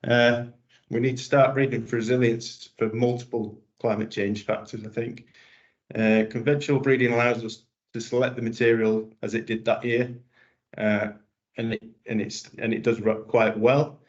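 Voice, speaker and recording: A man presenting as a vegetable breeder in a webinar, speaking in a halting way with restarts. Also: medium pitch, little pitch movement. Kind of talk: explanatory presentation. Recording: dull lo-fi webinar audio.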